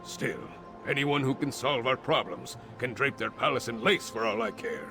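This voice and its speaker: A man's voice: medium pitch, normal speed, natural voice. Proud, scornful old-ways warrior type for a fantasy game played by a man, mid-range, music behind. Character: gruff, disdainful traditionalist Nord man. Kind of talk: video game character dialogue.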